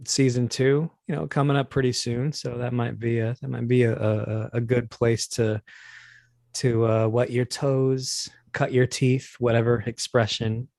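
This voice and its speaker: A man in a casual interview as a voice actor guest, talking in a halting, wry, joking way. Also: medium pitch, flat delivery, creaky, darkish tone, no echo, clean studio sound.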